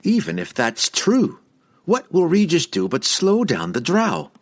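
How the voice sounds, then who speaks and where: A man voicing a firm, sharp-tongued young woman in an audiobook, assertive and rustic in her speech. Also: a little high, wildly swooping pitch, unhurried, pitched above the performer's own voice, full character voice. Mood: challenging.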